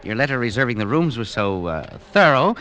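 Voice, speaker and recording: Bright, effusive hotel clerk. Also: male voice, mid-range voice, very animated, brisk. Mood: polite, chatty. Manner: polite, effusive, tactless. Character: chatty, obsequious hotel desk clerk. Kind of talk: vintage radio drama acting.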